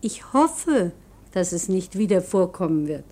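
Slow-spoken, humble old landlady. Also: lowish voice, big pitch swings, dark tone. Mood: deferential, reassuring. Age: older. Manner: hesitant, deferential, soothing. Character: humble, motherly old landlady, simple and fretful. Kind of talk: radio drama acting.

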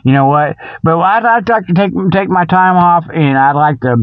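Folksy guy doing a rambly comedy monologue, kinda high voice, dull lo-fi sound. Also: smooth, dry recording. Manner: folksy, colloquial, with mock exasperation; halting, often repeats phrases while thinking aloud. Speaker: host of a comic Bigfoot show. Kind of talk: rambling comic solo monologue.

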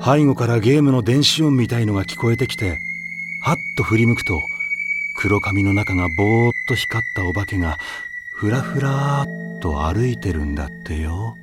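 A man playing a calm senior high school boy in an anime audio drama, with a measured, solemn way of talking in casual slang. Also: loud music behind the voice, lightly acted. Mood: eerie, solemn. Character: calm, gentle-faced senior high school boy telling ghost rumours.

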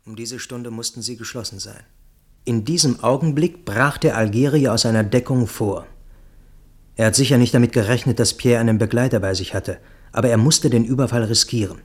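A man acting as a grave witness in a literary radio play, recounting dark events in the first person. He speaks deliberately, with a medium-pitched voice and a measured, literary delivery. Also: lightly acted. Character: grave male witness recounting a deadly night attack.

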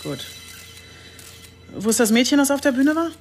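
An actress playing a shrewd, authoritative chief inspector in a radio crime drama, direct and dry. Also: female voice, high-pitched, very animated, normal speed, a little mellow, soft background music. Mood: commanding, businesslike. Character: brisk, commanding female police chief inspector, dryly shrewd.

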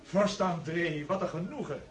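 A man's voice: on the high side, normal speed, a crowd in the background, lightly acted. A man playing a pompous, fawning social-climbing party host in a radio play.

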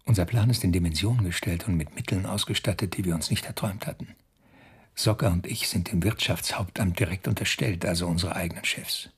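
An actor playing an ambitious, cynical SS officer, speaking a diary monologue in a precise, bureaucratic manner with a husky voice. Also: male voice, deep voice, brisk, full character voice. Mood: satisfied, businesslike. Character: ambitious cynical male SS officer, coolly self-regarding. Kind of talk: diary monologue in a radio drama.

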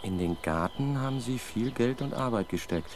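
A man's voice: medium pitch, lively intonation, moderate pace, a little mellow, a little hiss or hum, close to an everyday voice. An actor playing an observant, matter-of-fact male police sidekick, musing aloud in a 1970s German radio crime drama. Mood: absorbed.

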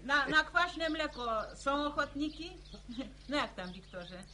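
An actress playing a homely, sighing village farmwife in a radio drama. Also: female voice, high-pitched, animated, takes it slowly, pushed and bright, a little hiss or hum, exaggerated voice. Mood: homely chatting.

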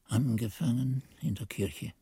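A man's voice: medium pitch, a little slow, husky, dry recording. An actor playing a monk who recalls his youth in a radio drama, narrating in a solemn, ardent, literary manner. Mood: wistful. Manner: expressive, solemn, literary delivery. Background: music.

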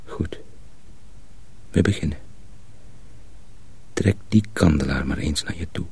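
An actor playing a cautious, secretive young miller's apprentice in a radio drama, husky and often hushed, explaining things deliberately. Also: male voice, very deep voice, heavily acted. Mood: instructing. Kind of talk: Dutch radio drama acting.

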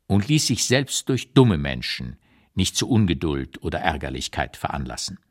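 Deep-voiced elderly memoirist, expressively measured. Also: male voice. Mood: admiring, measured. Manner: measured, emphatic, carefully articulated with rhetorical pauses. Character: 85-year-old writer looking back on his exile years, reflective and candid. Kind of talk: literary reading in a radio play, scripted memoir narration.

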